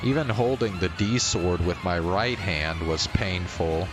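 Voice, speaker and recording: A man streaming a visual novel, reading its first-person text aloud as dramatic narration in deliberate, measured phrases. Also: sits low, music in the background.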